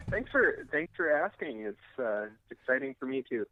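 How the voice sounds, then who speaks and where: A man's voice: mid-range voice, sing-song, moderate pace. A man from the United States, a musician, chatting casually in an interview in a hesitant, unassuming way. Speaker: rock guitarist being interviewed. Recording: tinny narrow phone-like line.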